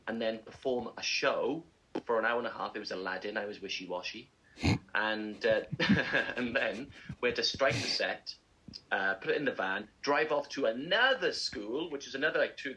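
A man's voice: medium pitch, sharp tone, rough recording. A young man co-hosting a casual breakfast show, telling rambling, self-mocking stories. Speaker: co-host.

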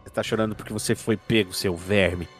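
A man's voice: lowish voice, fairly even intonation, brisk, faint music behind, pitched below the performer's own voice, natural voice. A man playing a stern, righteous detective in a tabletop game, speaking with contempt and menace. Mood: contemptuous. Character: stern, righteous male detective scolding a culprit.

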